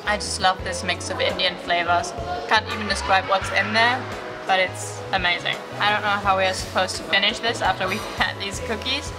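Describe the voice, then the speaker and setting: A woman's voice: medium pitch, fairly even intonation, a little fast. A young woman on a travel vlog, chatting in an upbeat way about food and markets. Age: young adult. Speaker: travel vlogger. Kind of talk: casual travel vlog chat. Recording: loud music bed underneath.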